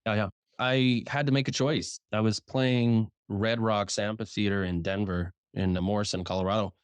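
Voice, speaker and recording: Casting: frank male journalist guest, low voice trained upward, casual swearing, dry close sound. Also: fairly even intonation, clean tone, dry recording. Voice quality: deliberately raised speaking voice, naturally deep. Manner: frank, swears casually, repeats words when starting sentences. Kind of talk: casual interview chat.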